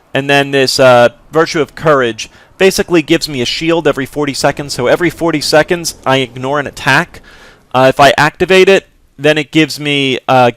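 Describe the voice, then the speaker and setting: A man's voice: a little high, a bit bright, clean tone, no room sound. A man casually commenting over his gameplay, explaining abilities as he plays with frequent restarts. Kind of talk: casual let's-play commentary.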